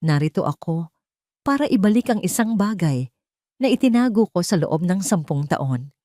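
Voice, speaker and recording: A woman's voice: lowish voice, sing-song, normal speed, warm tone, dry recording, clean studio sound. Woman acting a solemn old caretaker man. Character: loyal elderly man, former caretaker, gentle and solemn.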